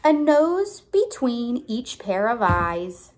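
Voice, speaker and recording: A young woman reads a picture book aloud at an unhurried pace. Her pitch swings in a lively way as she stresses key words. She speaks like a teacher, pausing to put simple questions to a small child. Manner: deliberate, emphatic storybook phrasing, prompting with questions. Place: indoor room at home.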